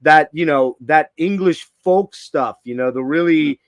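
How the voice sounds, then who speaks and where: A middle-aged man hosting an interview on a video call, enthusiastic and quick to agree. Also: on the high side, no room sound. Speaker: podcast host. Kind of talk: casual interview chat.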